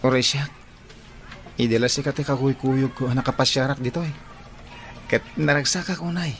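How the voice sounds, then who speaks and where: A man's voice: medium pitch, fairly even intonation, normal speed, a bit bright, soft background music, natural voice. An actor with an Ilocano Filipino accent playing an earnest, devoted young male suitor in a radio drama. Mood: tender, pleading. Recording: faint noise and outdoor ambience.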